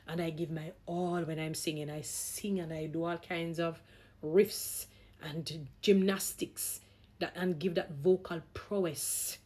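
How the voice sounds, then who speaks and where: A woman's voice: lowish voice, darkish tone, slightly rough. A woman vlogger giving opinionated talk in an emphatic, exhorting manner, repeating phrases for stress. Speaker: vlogger, gospel singer.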